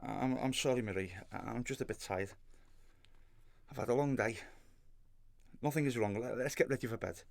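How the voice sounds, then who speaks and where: A man's voice: medium pitch, normal speed, vocal fry, pauses often, natural voice. Man acting as a husband, curt, irritable, evasive, short temper, radio drama. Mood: weary, placating.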